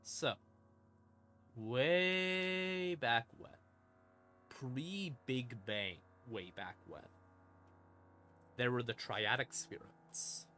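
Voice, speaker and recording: A man hosting a stream and explaining game lore in a casual monologue, with theatrical, deliberate pauses between short phrases. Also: medium pitch, sharp tone, a little hiss or hum. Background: ambient music in the background.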